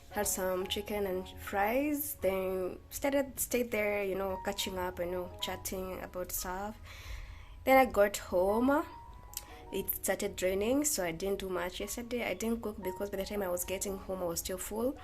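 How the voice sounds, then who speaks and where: A young woman from Kenya talks to her camera in a loose, rambling vlog style, correcting herself as she goes. Her Kenyan accent is easy to hear, and her voice is warm and mellow. Soft music plays faintly behind her. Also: mid-range voice, unhurried. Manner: rambling, self-correcting, mixes in Swahili. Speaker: vlogger. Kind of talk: casual chatty vlog.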